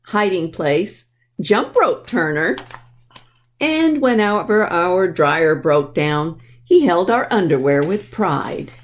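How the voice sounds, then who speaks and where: A woman presenting a children's library storytime, explaining things simply and addressing young listeners directly as she reads aloud. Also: lowish voice. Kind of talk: reading a picture book aloud to children.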